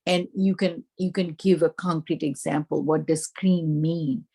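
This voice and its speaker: A woman's voice: sits low, steady tone, a little slow, gravelly, mellow, slightly rough. A woman with an Indian accent giving an instructional presentation to teachers, explaining step by step and posing questions that she answers herself. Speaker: teacher trainer.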